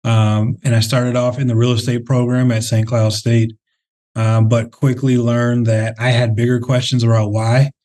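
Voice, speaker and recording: A man talking through interview answers in a warm, mellow voice that stays fairly level in pitch. He speaks thoughtfully, pausing often with fillers and restarting phrases. He comes across as a company head explaining his work. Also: level tone. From Minnesota, Twin Cities. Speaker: real estate CEO, interview guest.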